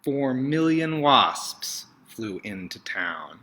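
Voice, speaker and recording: Bright-voiced man reading a children's story expressively. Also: mid-range voice, big pitch swings, very slow, slight background noise. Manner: theatrical, emphatic delivery with dramatic swells and hushes. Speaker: picture book read-aloud host.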